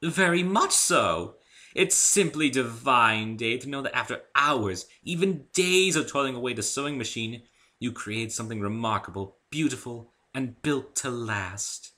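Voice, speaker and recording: A refined lady unicorn, acted out by a male reader gushes and gossips in a story. The voice is pitched up, swooping and bright, with posh, dramatic airs and lingering emphasis on choice words. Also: lively intonation, measured pace. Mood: proud, dreamy. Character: refined, theatrical female unicorn fashion designer, gushing and gossipy.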